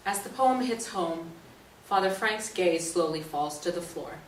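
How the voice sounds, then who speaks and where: Lowish-voiced woman narrator in an echoey room. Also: expressive, measured pace. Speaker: narrator of a play reading. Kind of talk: scripted narration of stage directions. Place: echoey indoor stage or hall. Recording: echoey room, distant mic, faint noise.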